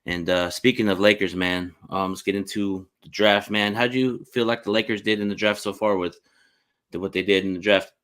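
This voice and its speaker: A man's voice: fairly low pitch, steady tone, fairly quick, a bit bright. A man hosting a sports podcast in a casual, chatty, informal way. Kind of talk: casual interview chat.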